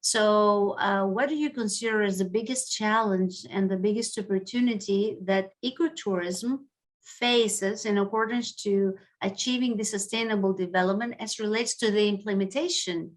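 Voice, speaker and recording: A woman academic moderating a panel in a formal, halting way. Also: mid-range voice.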